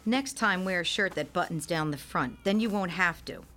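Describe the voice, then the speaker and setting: A woman's voice: on the low side, moderate pace, a bit bright, lightly acted. A woman acting in a radio play as a tired, practical mother who is direct and no-nonsense. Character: tired, blunt, practical mother of a sick baby.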